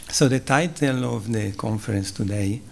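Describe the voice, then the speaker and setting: A man from southern Switzerland whose first language is Italian, giving a spiritual lecture at a temple in English with an Italian accent. He speaks haltingly, pausing, using fillers and clearing his throat. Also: mid-range voice. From Ticino, southern Switzerland. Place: temple hall.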